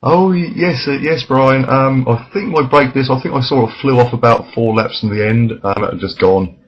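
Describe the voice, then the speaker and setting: A man's voice: medium pitch, normal speed. A man who is under the weather and losing his voice, giving a hesitant, matter-of-fact driver interview. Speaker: sim racing driver being interviewed. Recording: dull, lo-fi voice chat.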